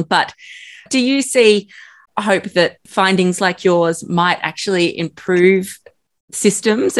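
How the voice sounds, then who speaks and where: A woman interviewer asking thoughtful, probing questions. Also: fairly low pitch.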